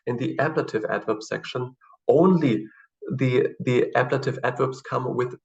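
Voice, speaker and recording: A man whose first language is German, teaching in a screen-share tutorial with halting explanations and many fillers. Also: mid-range voice, creaky. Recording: distant, dull lo-fi mic.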